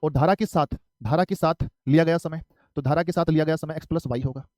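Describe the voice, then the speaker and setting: Fast-talking male math tutor, dry sound. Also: fairly high pitch, warm tone, no echo. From Haryana, India. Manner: repetitive, asks and answers his own questions. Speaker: math teacher. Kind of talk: live online exam-prep math class.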